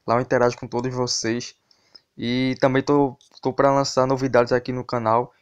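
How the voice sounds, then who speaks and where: A Brazilian man speaks in a mid-range voice with very little melody, keeping an even line as he goes. He sounds like an informal online host giving sports tips, addressing his viewers directly and casually. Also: medium pitch, moderate pace, clear, resonant tone, muffled recording. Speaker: host of a football betting tips channel. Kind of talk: casual sports tips commentary over a screen recording.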